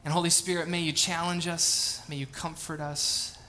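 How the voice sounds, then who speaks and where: A man in his thirties preaching from a church stage, his voice pitched a touch high and bright with an edge of tension. He slides from reading and prayer into loose, chatty storytelling, poking fun at himself. A faint hum of room ambience sits behind him. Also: flat delivery, moderate pace. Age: 30s. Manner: casual, chatty, self-deprecating storytelling. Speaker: pastor. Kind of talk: sermon with scripture reading and prayer. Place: church sanctuary.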